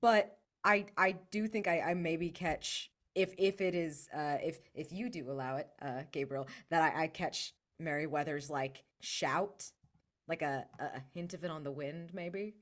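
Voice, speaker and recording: A woman's voice: low-pitched, measured pace. A woman playing a tabletop game, casually improvising descriptions and searching for her words as she goes.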